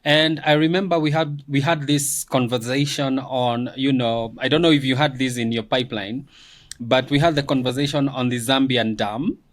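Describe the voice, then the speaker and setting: A man with a mid-pitched voice talks through his views in a calm, measured way. He shares reflections on a recent discussion and pauses with casual fillers. The exchange is relaxed and conversational. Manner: measured, thoughtful, fillers like "you know". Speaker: guest commentator.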